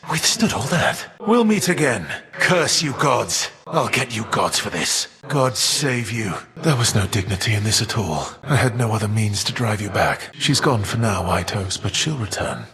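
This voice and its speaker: A proud bitter titan for a video game, kinda deep, grave old-fashioned wording, big echoey reverb. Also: male voice. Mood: defiant, then bitter, strained, then solemn, resolute. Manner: formal, measured, grave archaic diction. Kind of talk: scripted video game character voice lines.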